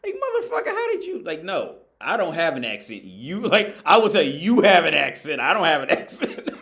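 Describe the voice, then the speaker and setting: American man, casual livestream host, lively swooping delivery, swears freely and laughs a lot. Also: a little high, a little fast. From United States. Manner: loose, profane, laughing, animated.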